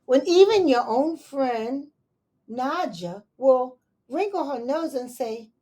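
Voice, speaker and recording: A woman teacher reading a picture book aloud to young children, expressive and unhurried, with soft asides to her listeners. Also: high voice. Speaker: elementary school teacher.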